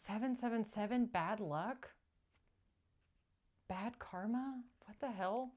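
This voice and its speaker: A woman hosting a tarot reading, speaking spontaneously to viewers and repeating short phrases for emphasis between pauses. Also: on the high side, darkish tone. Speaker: tarot reader and channeller, show host.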